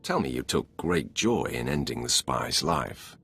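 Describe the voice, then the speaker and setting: An actor playing a stern, commanding dark lord in a video game, with a terse, cold, imperious manner. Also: male voice, very deep voice, big pitch swings, a little slow, slightly rough, heavily acted. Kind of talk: video game character acting.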